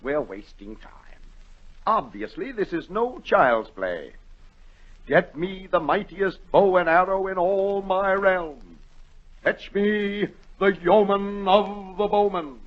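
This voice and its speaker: Blustering husky storybook king. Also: high voice, takes it slowly. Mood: impatient, commanding. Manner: pompous, commanding. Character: imperious, impatient, blustering king of a storybook realm. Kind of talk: 1940s radio acting. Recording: dull, raspy-edged 1940 radio recording.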